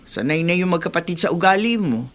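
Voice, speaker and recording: An actress playing a frank, kindly neighbour woman in a Filipino radio drama, chatty and gently chiding. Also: lowish voice, lively intonation, fairly quick, close to an everyday voice. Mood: chiding, friendly. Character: frank, kindly neighbour woman who chides her friend.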